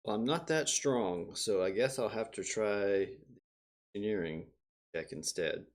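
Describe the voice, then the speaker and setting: A man with a lowish voice takes his turn as a player in a tabletop game. He talks very slowly and casually, halting as he thinks aloud. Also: expressive. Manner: hesitant, halting.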